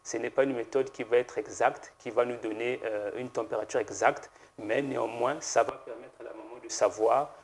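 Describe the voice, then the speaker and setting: A man, a pharmacist guest on a TV studio set, explaining slowly and hesitantly with many fillers and restarts. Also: medium pitch, steady tone, creaky. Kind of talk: explanatory TV interview answers.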